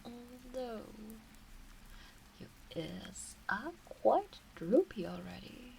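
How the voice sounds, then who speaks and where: A woman playing a teasing, doting, motherly kitsune witch in an intimate ASMR roleplay, cooing in a breathy, whispery way. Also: a little high, big pitch swings, drawn-out words, dark tone, a little hiss or hum, heavily acted, rough recording. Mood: tender, soothing.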